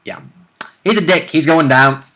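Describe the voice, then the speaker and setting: A man's voice: fairly high pitch, normal speed, pushed and bright, a little hiss or hum. A man co-hosting a gaming vidcast, bantering casually in a blunt, sweary, mock-indignant way. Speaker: co-host of a tabletop role-play vidcast. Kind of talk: casual banter and short interjections.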